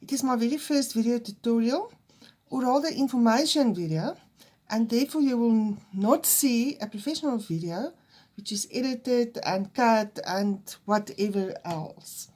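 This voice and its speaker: A woman's voice: fairly high pitch, measured pace, a little mellow. A woman from South Africa with an Afrikaans accent, her first language, giving an unedited crochet lesson in a nervous, hesitant way. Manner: hesitant and nervous, with fillers and restarts. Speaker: crochet teacher.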